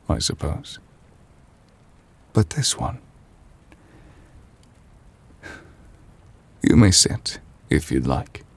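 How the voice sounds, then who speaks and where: A man playing an ancient, weary chief judge in an intimate ASMR roleplay, formal and gentle, speaking in measured, hesitant phrases. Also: dry recording, full character voice. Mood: troubled, pensive. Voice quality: husky, breathy low voice. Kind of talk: intimate ASMR audio roleplay, slow dramatic monologue.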